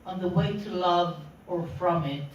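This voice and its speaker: A woman reads poetry in short, deliberate phrases at a slow pace. She sounds far from the microphone, in a very echoey room. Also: lowish voice, lo-fi sound, a little hiss or hum. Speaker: poetry reader. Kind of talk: poetry reading. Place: indoor literary meeting room.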